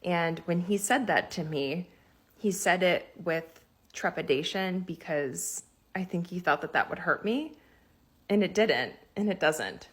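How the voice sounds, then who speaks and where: Husky woman in her forties, calm. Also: lowish voice, unhurried. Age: 40s. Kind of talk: personal storytelling monologue.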